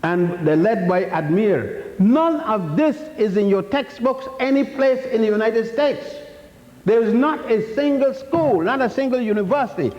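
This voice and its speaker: A man's voice: high voice, lively intonation, a little slow, darkish tone. An older man from Ethiopia giving a public history lecture, blunt and defiant, rambling with many restarts. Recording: echoey hall, dull lo-fi sound.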